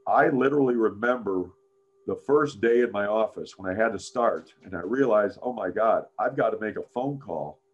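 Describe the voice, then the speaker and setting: Deep-voiced older man telling stories. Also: normal speed, breathy edge, lo-fi sound. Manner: frequent "frankly" and "uh", animated anecdotes. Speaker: interview guest, business founder. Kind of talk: casual interview, storytelling.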